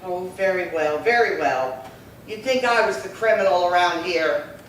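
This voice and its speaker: A woman's voice: on the low side, lively intonation, moderate pace, natural voice. A woman playing a bossy, haughty elderly sister of about eighty in an amateur radio crime drama, huffy and scornful. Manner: huffy, scornful, old-fashioned speech. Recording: very echoey room, distant mic, faint noise.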